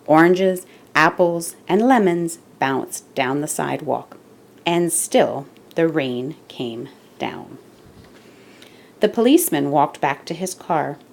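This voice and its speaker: A woman reading a children's picture book aloud with deliberate, expressive emphasis, as the storyteller and host. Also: deep voice, gravelly.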